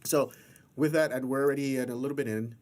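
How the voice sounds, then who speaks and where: A man's voice: mid-range voice, fairly even intonation, fast, darkish tone. A man hosting a casual interview, talking in a rambling, encouraging way. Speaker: interview host.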